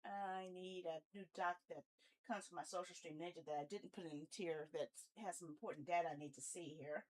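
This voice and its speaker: A woman's voice: on the low side, fairly quick, darkish tone, low-quality recording. A woman hosting a casual gaming livestream, talking in a rambling, candid, grumbling way. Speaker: video game livestreamer, host.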